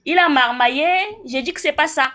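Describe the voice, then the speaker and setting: A woman's voice: high voice, sharp tone. A woman from Chad, arguing assertively in a live debate and firing off citations. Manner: assertive, rapid-fire citing of sources. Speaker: debate participant.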